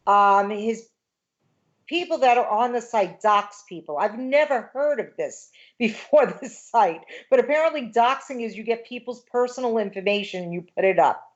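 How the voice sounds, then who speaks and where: A woman's voice: medium pitch, muffled recording. A woman in her fifties, a guest on a casual livestream chat, talking indignantly in a rush with many fillers. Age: 50s.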